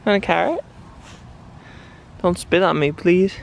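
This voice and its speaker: A man's voice: high-pitched, lively intonation, a little slow, creaky, slight background noise. A young man casually vlogging outdoors on a handheld camera, chatting playfully to animals as he walks. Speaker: sailing vlogger. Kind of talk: casual travel vlog. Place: outdoors on an island farm and beach. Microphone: handheld action camera.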